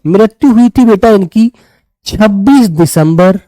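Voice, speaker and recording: A man's voice: high voice, expressive, a little slow, dark tone, no room sound, poor audio. A man with a slightly sore throat, teaching a live online class, addressing students affectionately and repeating key phrases as if dictating. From Uttar Pradesh, India. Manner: affectionate check-ins with students as "beta". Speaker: Hindi teacher of an online exam-prep class.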